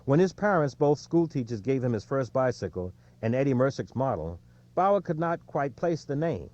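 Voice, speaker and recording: A man reading an audiobook in a measured, clearly scripted storytelling delivery, recorded with slightly lo-fi sound. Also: mid-range voice, very animated, darkish tone, dry recording. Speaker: audiobook narrator.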